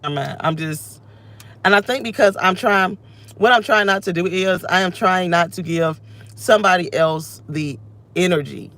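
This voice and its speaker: A woman's voice: fairly low pitch, moderate pace, a bit bright. A woman in her thirties, with a scratchy voice, venting and restlessly interrupting herself into a phone camera while she sits in her car. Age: 30s. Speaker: vlogger. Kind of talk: unscripted personal vlog rant. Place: inside her parked car. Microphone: phone camera held close.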